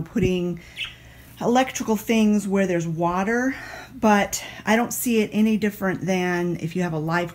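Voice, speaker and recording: A woman's voice: medium pitch, darkish tone. A middle-aged woman chatting casually as she tidies her country home, explaining things as she goes and often restarting her sentences. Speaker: home vlogger. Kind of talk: casual cleaning vlog narration. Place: country house, indoors, rooms with plants and a kitchen. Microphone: handheld phone camera.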